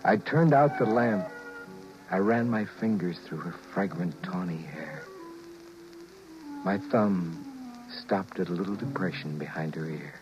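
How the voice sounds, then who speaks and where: A stammering guilty husband. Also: male voice, low voice, a little slow, pauses often, over music. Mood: confiding narration. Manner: stammering, nervous, confiding narration. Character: flustered guilty husband George, middle-aged man. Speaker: actor playing George, narrator and lead. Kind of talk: 1950s radio drama acting. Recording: dull vintage 1950s radio broadcast.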